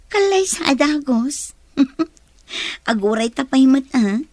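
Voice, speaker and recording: High-voiced hesitant young Ilocano sweetheart. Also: female voice, expressive, slow, darkish tone, no echo, heavily acted. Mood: shy, tender. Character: soft, hesitant young woman being courted. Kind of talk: Filipino radio drama acting in Ilocano.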